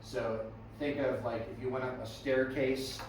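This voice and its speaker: A man's voice: mid-range voice, steady tone, unhurried, noisy background. Man, music teacher, classroom lesson, quizzing students, distant mic, very echoey room. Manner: friendly questioning of students, frequent "right?" and "okay".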